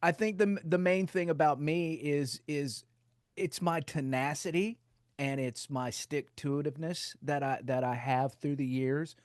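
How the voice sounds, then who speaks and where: Loud Southern rock singer, animated. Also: male voice, fairly high pitch, unhurried, dry recording, studio quality. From North Carolina, USA. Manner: loud, animated, laughs often. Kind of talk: casual interview chat.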